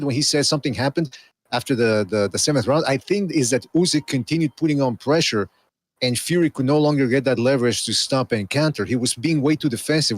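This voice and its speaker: A man's voice: medium pitch, breathy edge. A man co-hosting a boxing podcast, talking in a rambling casual way with many restarts. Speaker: podcast co-host.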